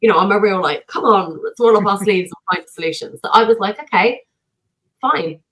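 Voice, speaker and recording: A woman singer and vocal coach, a guest on a podcast, telling her story in an energetic, self-deprecating way. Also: mid-range voice, a little fast, rough recording. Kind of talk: casual podcast conversation.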